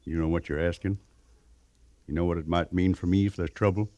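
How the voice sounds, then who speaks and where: Actor as low-voiced, somber frontier scout. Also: male voice, steady tone, brisk, darkish tone, dry recording, lightly acted. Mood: troubled, reluctant. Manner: terse, plain-spoken. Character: somber frontier scout living among the Arapaho. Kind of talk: old time radio western acting. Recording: very noisy old radio recording.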